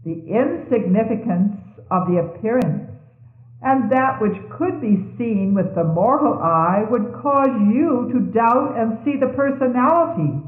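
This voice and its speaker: A woman reading religious messages aloud in a solemn, measured and formal manner, on a 1970s tape. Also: a little high, lively intonation. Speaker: religious reader. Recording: 1970s tape, thin phone-like band, echoey room.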